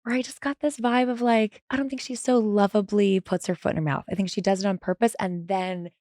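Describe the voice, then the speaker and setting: A woman's voice: medium pitch, steady tone, brisk, darkish tone, no echo, clean studio sound. A woman in her thirties from California, a podcast guest chatting casually with sassy asides and frequent laughter. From California, USA. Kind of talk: casual chat.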